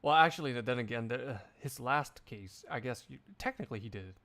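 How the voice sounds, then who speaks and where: A man's voice: mid-range voice, expressive, brisk, a bit bright. A man chatting casually on a livestream in a rambling way, swearing here and there. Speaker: streamer doing a one-man live fan dub.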